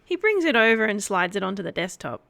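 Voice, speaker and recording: A woman's voice: medium pitch, sing-song, quick pace, creaky, bright, forceful tone. A woman casually improvising narration of her character's actions as a player in a role-play.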